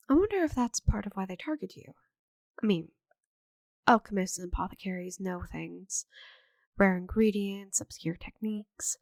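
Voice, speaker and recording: A woman playing a friendly, chatty, slightly scatterbrained forest witch in an ASMR roleplay audio drama, with a gentle, theatrical manner. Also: mid-range voice, no room sound, studio quality, lightly acted. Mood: curious helpful.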